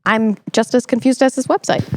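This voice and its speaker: A young adult woman hosting a casual interview chat, reacting in an animated, teasing way. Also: a little high, a little fast. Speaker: podcast host.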